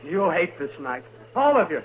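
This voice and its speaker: A man's voice: fairly high pitch, very animated, moderate pace. A man acting a bitter, defiant condemned young man in a 1950s radio western, speaking in an agitated manner. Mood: bitter defiance. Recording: muffled, faintly noisy old radio recording.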